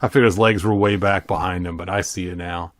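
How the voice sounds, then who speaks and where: A man giving a relaxed, chatty read-through of a picture book, with playful and enthusiastic fan commentary. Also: deep voice, quick pace. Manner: playful, enthusiastic fan asides with vocal sound effects. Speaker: YouTube host reading a children's picture book aloud.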